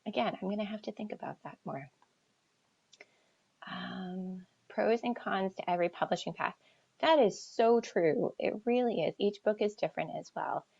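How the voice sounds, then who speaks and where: An American woman chatting casually as an author on a livestream Q&A, thinking aloud in a halting, reflective way and often trailing off. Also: on the low side, gravelly, darkish tone. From New Hampshire seacoast, United States. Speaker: author answering audience questions. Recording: dull lo-fi livestream audio.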